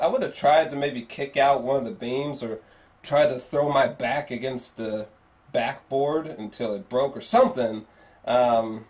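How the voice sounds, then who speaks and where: A man's voice: mid-range voice, darkish tone. A man giving a casual, unscripted film review, speaking in a rambling, emphatic way peppered with profanity.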